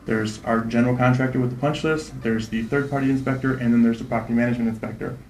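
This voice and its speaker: Fast-talking man in his thirties, echoey room. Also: medium pitch, steady tone, a little mellow, a little hiss or hum. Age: 30s. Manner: fast, confident, repeats "right?". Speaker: real estate investor. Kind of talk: interview answers.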